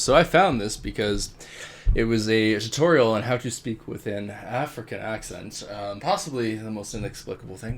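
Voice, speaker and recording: A man co-hosting a casual podcast, mocking his subject with a deadpan delivery. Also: on the low side. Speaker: podcast co-host. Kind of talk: casual chat.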